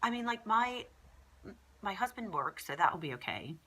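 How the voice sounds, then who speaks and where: A woman's voice: mid-range voice, very animated, normal speed, sharp tone, slightly rough. Chatty congested young Englishwoman vlogging. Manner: chatty, exasperated, rambling with fillers. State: congested with a cold. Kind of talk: casual pregnancy vlog.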